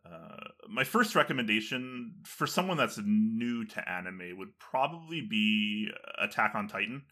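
Bright-voiced man chatting about shows. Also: lowish voice. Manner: enthusiastic, many fillers. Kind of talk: casual conversation.

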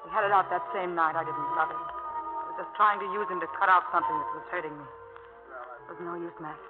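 A woman's voice: fairly low pitch, brisk, lightly acted. Actress as sultry lovesick woman, tinny radio. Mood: wistful, flirtatious. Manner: flirtatious, insistent. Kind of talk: 1940s-50s radio drama acting. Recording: tinny old radio, loud music behind.

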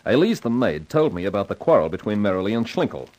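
An actor playing a self-assured, slangy, wry amateur sleuth in a 1950s radio crime drama. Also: male voice, fairly low pitch, very animated, quick pace, darkish tone, low-quality recording. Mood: explaining. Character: wisecracking, hard-boiled male amateur sleuth, self-assured.

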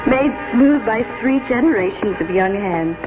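An actress playing a kindly, reminiscing spinster schoolteacher in a 1940s radio drama, speaking tenderly and precisely. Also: female voice, mid-range voice, animated, moderate pace, lightly acted. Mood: wistful, reminiscing. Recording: tinny 1940s radio, loud music behind.

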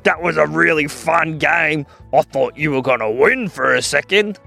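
A man voicing a playful, friendly shark who teases in a slow drawl. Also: on the high side, creaky, sharp tone, faint music behind, full character voice. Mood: teasing.

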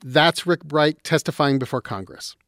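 A man's voice: fairly high pitch, moderate pace, fairly bright tone, no echo, clean studio sound. A man hosting a radio podcast, chatting in a playful, joking way. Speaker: podcast host. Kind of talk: casual radio chat.

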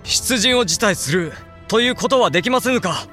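A man's voice: sits high, expressive, normal speed, sharp tone, soft background music. A man acting an earnest young samurai heir in a period voice drama, urgent, formal and emphatic. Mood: pleading.

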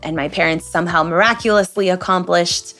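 A young woman from the United States whose first language is Spanish, co-hosting a casual podcast with relaxed, short reactions. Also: on the low side, fairly quick, faint music behind. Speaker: podcast co-host. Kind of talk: casual chat.

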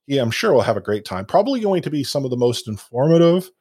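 A man's voice: medium pitch, sing-song, fast, a little mellow, husky, dry recording, clean studio sound. A man hosting a casual esports podcast, rambling and self-deprecating with many fillers and restarts. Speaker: podcast host.

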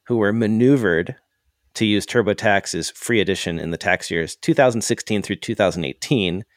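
A man co-hosting an accounting podcast in casual chat, explaining the news in a measured way while a bit stuffed up. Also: on the low side, no room sound, clean studio sound. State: stuffed up from a cold. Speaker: podcast co-host.

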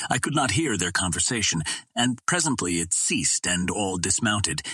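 A man's voice: on the low side, fairly bright tone, slightly rough, no room sound. A man reading a first-person adventure novel aloud as scripted audiobook narration. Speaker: audiobook reader.

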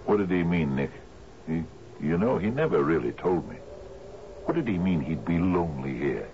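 An actor playing a doddering, sentimental old island sea captain who stammers and repeats himself, in a 1970s radio drama. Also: male voice, sits low, sing-song, normal speed, warm tone. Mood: puzzled. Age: older. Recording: dull, noisy old radio recording.

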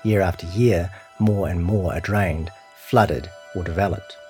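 A man with a New Zealand accent reading a scripted long-form news feature as narrator, in a measured, serious way. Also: low-pitched, a little mellow, soft background music. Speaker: narrator of a long-read journalism podcast.

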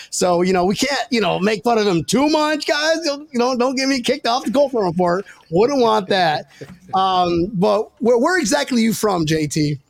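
A man's voice: high-pitched, vocal fry, no room sound. A man hosting a casual sports podcast with jokey, self-deprecating banter and salty language. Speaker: podcast host.

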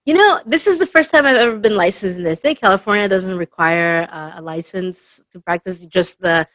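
A woman's voice: fairly low pitch, brisk, a bit bright, no echo. A woman with Spanish as her first language, from Peru, chatting over a video call as an interview guest and telling stories with lots of fillers. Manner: chatty storyteller, many fillers. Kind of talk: casual interview answers.

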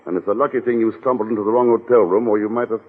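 An actor playing a suave, wry and persuasive secret agent in a 1940s radio drama. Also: male voice, on the low side, steady tone, racing, husky. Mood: insistent, wry. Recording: muffled, narrow-band old radio recording, reverberant.

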